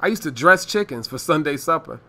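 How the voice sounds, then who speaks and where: A man's voice: fairly high pitch, lively intonation, fairly quick, pushed and bright, heavily acted. A man playing a matter-of-fact, dismissive father in a radio play.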